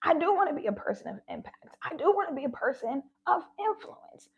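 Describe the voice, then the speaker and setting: A woman's voice: sits high, very animated, fairly quick, vocal fry, phone-quality sound. Woman with a shaky, near-tearful voice. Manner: shaky voice that sounds close to tears. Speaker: spiritual vlogger. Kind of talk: heartfelt spiritual talk.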